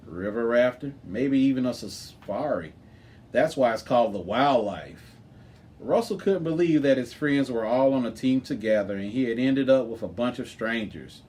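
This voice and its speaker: A man's voice: mid-range voice, a little mellow. Man reading a children's book aloud. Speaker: storybook reader. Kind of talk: scripted narration, children's chapter read-aloud.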